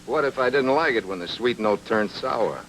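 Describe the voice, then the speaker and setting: An actor playing a tough, sardonic private investigator in a noir radio drama, talking in terse slangy patter. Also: male voice, medium pitch, lively intonation, moderate pace, a bit bright, a little hiss or hum, close to an everyday voice, poor audio. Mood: wry, probing.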